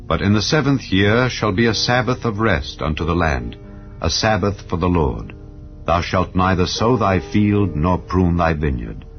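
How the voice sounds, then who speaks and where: A man reading scripture aloud in a solemn, measured way. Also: sits low. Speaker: Bible reader. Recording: dull lo-fi audio with faint background music. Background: soft ambient music.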